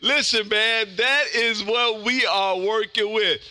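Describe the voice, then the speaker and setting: High, bright, loud American radio streamer. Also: male voice, slow pace. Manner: loud, exuberant, repetitive hype. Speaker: amateur radio livestream host. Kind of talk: live ham radio chat and calls.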